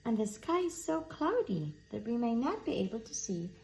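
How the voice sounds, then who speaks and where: A woman voicing a small, anxious male mouse in a storybook, fretful and squeaky. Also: big pitch swings, moderate pace, a little mellow, slight background noise, poor audio. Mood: worried, anxious.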